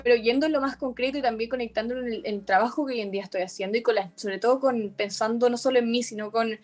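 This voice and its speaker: A woman's voice: on the high side, quick pace, a little mellow. A young woman from Chile with a Chilean accent, speaking earnestly as a youth activist guest, often restarting her phrases. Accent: Chilean Spanish. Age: young adult. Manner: earnest, many restarts and self-corrections. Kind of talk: conversational interview.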